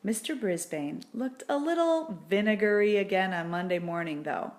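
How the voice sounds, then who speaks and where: A woman reading a children's book aloud in a deliberate, expressive storybook narration. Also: medium pitch.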